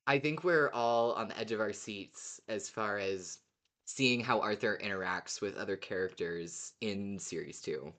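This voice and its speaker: A podcast co-host of unclear gender, chatting casually as a fan in a giggly, excitable way. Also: low-pitched, unhurried. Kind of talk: casual fan discussion of an audio drama.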